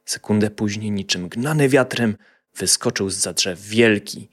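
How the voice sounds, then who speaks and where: A man's voice: lowish voice, unhurried, a bit bright. A man narrating a scripted children's fairy tale as a storyteller.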